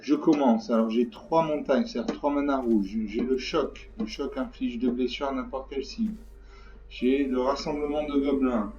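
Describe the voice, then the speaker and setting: Hoarse man rambling over game music. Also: mid-range voice, steady tone, normal speed, darkish tone, a little hiss or hum. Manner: rambling, repeats himself, many fillers. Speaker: gaming channel host. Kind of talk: casual game commentary. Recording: distant mic, echoey room, dull lo-fi sound, faint music.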